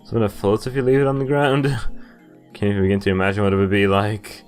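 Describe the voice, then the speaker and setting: A man's voice: lowish voice, flat delivery, quick pace, soft background music. A young man giving casual game commentary and reading on-screen text aloud, easily amused and often chuckling. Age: young adult. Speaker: gamer recording a playthrough. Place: indoors at a desk, with game music behind.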